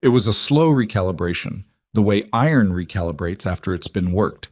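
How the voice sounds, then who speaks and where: A man reading a dramatic western story as scripted narration, measured and deliberate in his delivery. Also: deep voice, expressive, a little mellow, dry recording, studio quality. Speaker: audiobook-style story narrator.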